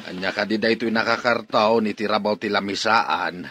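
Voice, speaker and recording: An actor with a Filipino accent playing a concerned, forceful father in a radio drama. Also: fairly low pitch, fairly even intonation, normal speed, faint music behind.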